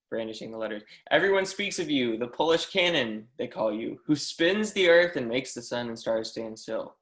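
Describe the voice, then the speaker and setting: A man's voice: medium pitch, a bit bright, natural voice. Man playing an eager young scholar, echoey room. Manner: earnest, effusive, apologetic, formally polite. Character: eager, deferential young German mathematics professor, flustered admirer. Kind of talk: readers theatre performance of a history play.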